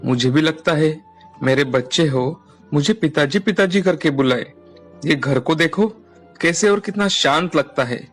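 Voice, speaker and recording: Male actor as doting village father, earnest, plain-spoken, fairly high male voice, storybook tale, background music. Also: measured pace. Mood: wistful. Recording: music playing behind the voice.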